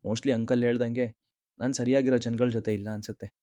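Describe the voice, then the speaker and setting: A man's voice: medium pitch, level tone, a little fast, warm tone, no room sound, close to an everyday voice. A man playing a humble, good-hearted, downhearted young man, speaking politely and earnestly in a cartoon story. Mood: reflective, hopeful. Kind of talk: dramatic dialogue in a cartoon short story.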